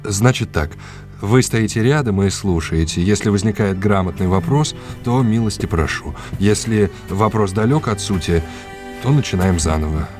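An actor playing a confident, exacting art lecturer in an audio drama, with a didactic and courteously formal manner. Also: male voice, fairly low pitch, animated, normal speed, over music, natural voice.

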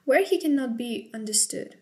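A woman asks interview questions with curiosity and a friendly tone. Her voice sits a little high. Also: lively intonation, moderate pace, dark tone.

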